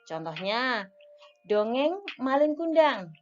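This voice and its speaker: Slow, clear woman teaching young children. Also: fairly high pitch, sing-song. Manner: slow, clear teacherly explaining with list-like enumeration. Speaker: elementary school teacher. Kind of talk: recorded classroom lesson for young children. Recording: dull lo-fi audio with faint music.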